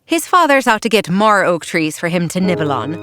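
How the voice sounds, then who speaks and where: A woman playing a giant's clever wife in a storybook drama, doting sweetly and teasing in a deadpan way. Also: fairly low pitch, fairly quick.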